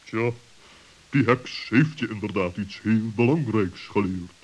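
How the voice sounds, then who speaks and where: A man playing a cautious, wise owl with a deliberate, pompous way of speaking in a children's radio play. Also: on the low side, low-quality recording. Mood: doubtful, pondering.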